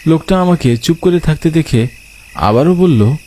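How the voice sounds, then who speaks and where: Dark-voiced man narrating horror. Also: fairly high pitch, lively intonation, normal speed. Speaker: story narrator. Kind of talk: dramatic first-person horror narration.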